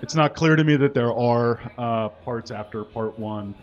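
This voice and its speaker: A man reads aloud at a stand mic on a small curtained stage, with a deadpan, mock-earnest manner. His voice sits in the middle range, and the live recording sounds a little dull. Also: medium pitch, unhurried, soft background music. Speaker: guest reader. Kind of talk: comic dramatic reading.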